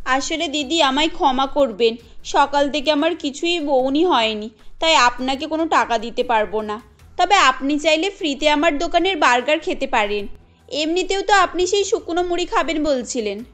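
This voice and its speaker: A woman's voice: sits high, lively intonation, moderate pace, fairly bright tone, faint music behind. A woman voicing an earnest, polite and determined young mother in a family cartoon.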